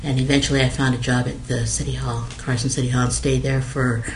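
A middle-aged woman from American Samoa speaks in a flat, level tone, pausing often with "uh" as she recalls things. The recording carries a faint hiss underneath. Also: a bass voice, poor audio. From American Samoa, raised in Hawaii. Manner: hesitant, many fillers. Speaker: interviewee. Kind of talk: oral history interview.

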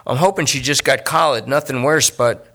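A man voicing a jittery, talkative male junkie who rambles and pleads in fast bursts. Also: mid-range voice, fairly bright tone, pitched above the performer's own voice, natural voice. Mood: pleading.

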